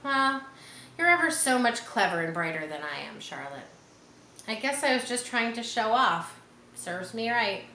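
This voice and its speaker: A woman voicing an eager, naive young male pig from a storybook, earnest and excitable. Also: fairly high pitch, normal speed, exaggerated voice. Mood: sad, humbled. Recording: roomy echo, faint background noise.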